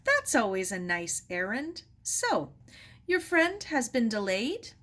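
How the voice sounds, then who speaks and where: An elderly theater doorman from a storybook, read by a woman. He is kindly and courteous but suspicious. His questions have lively lifts in pitch. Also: takes it slowly, heavily acted. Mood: curious, concerned. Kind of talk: children's storybook read aloud.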